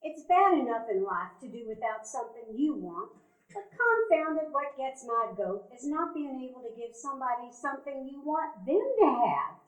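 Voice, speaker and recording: A woman's voice: high voice, very animated, moderate pace. A woman playing a fond, folksy old cousin. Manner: gentle, folksy, affectionate. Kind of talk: live radio play acting. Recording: roomy live stage recording.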